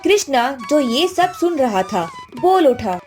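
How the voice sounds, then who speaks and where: Lady narrator for a cartoon story, high voice w lots of melody, faint music behind. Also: big pitch swings, slow, darkish tone.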